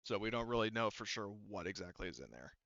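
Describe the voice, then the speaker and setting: A man voicing a cautious, wry woman who leads an expedition in a tabletop game, speaking casually and haltingly. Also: on the low side, very animated, vocal fry, a bit bright, no echo, muffled recording, heavily acted. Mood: concerned. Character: cautious, wry, armed female archaeological expedition leader.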